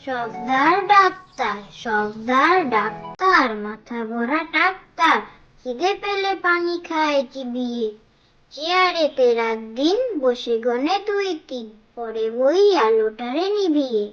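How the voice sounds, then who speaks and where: A performer voicing a young child who leads cartoon nursery rhymes in a measured, repetitive sing-song. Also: high voice, expressive, bright, forceful tone, dull audio, faint music behind, exaggerated voice.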